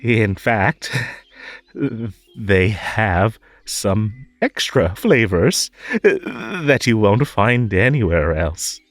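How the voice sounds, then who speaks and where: A man playing a possessive magical tea-party host in an audio roleplay, over-polite and halting, with a menacing, unhinged edge under the sweetness. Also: fairly low pitch, animated, dry recording, soft background music, exaggerated voice. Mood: coaxing. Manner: halting, drawn-out, over-polite, swinging between coaxing and stern. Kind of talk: scripted roleplay audio drama.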